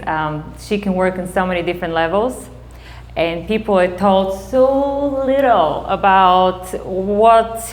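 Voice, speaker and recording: A woman in her forties with a Bulgarian accent, a psychotherapist giving a casual public talk, animated and laughing often. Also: medium pitch. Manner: animated, laughs often, quick bursts of excitement.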